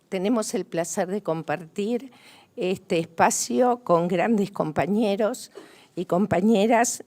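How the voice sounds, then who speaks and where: A woman opens a press conference and introduces the guests at the table one by one. Her voice sits a little low, and she speaks formally, taking her time. She pauses and hesitates often between names. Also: darkish tone. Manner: measured, with frequent hesitations.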